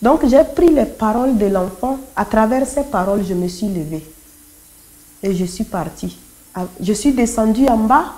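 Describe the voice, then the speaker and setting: A woman's voice: medium pitch, lively intonation, moderate pace, mellow, poor audio. A woman whose native language is Kotokoli, giving a personal religious testimony as a church speaker, telling it dramatically with emphatic repetition and acted-out dialogue. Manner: emphatic, repeats phrases, acts out quoted dialogue.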